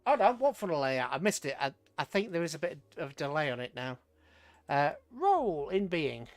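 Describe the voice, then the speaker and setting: A man's voice: a little high, sing-song, normal speed, no room sound. A British man casually commentating a strategy game on a livestream, chatting with viewers and muttering rambling asides to himself as he plays.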